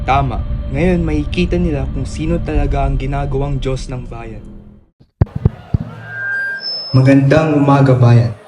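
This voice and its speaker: A man's voice: medium pitch, steady tone, measured pace, pauses often, music in the background, natural voice. A man acting a defiant, grieving son exposing his corrupt father in a solemn, declamatory Filipino radio drama style. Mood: accusing.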